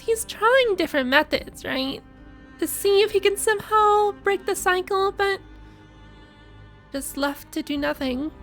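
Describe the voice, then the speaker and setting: Casting: female game streamer, extremely high voice, conversational, theorizing and reading aloud as she reacts. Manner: thinking out loud, conversational.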